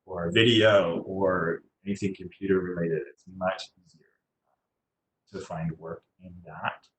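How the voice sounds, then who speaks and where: In an echoey room with faint noise behind him, a man gives informal career advice in a low voice. He speaks haltingly, as a guest on a video call, and often lets phrases trail off. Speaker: guest, landscape designer and choral musician.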